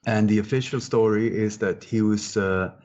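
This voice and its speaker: A man's voice: lowish voice, fairly even intonation, moderate pace, darkish tone. Emphatic older man, muffled audio. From Sweden. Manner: emphatic, repeats words, frequent restarts. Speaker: guest researcher. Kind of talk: conversational interview answers. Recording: muffled, dull-sounding audio.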